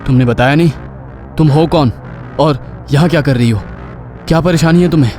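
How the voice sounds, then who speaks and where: A man playing a puzzled, dutiful police inspector in an animated horror story, in a dramatic, questioning manner. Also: on the high side, a little fast, faint music behind, natural voice. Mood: demanding.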